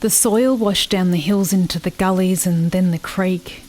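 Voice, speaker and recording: A haunted woman played by an actress tells her story in a slightly low voice. She is solemn and measured, letting pauses linger in a reflective radio-drama monologue full of old grief. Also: fairly even intonation, a little mellow, close to an everyday voice, low-quality recording. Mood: bitter, grieving. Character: haunted, grieving woman recalling a bitter rural childhood. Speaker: actor in a radio play.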